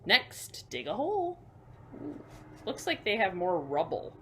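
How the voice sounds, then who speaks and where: Lady doing kids storytime, really slow and swoopy, drops to hushed asides, kinda noisy recording. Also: medium pitch. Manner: expressive, slow emphatic reading with hushed asides. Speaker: library assistant hosting children's storytime. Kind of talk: picture book read-aloud for young children.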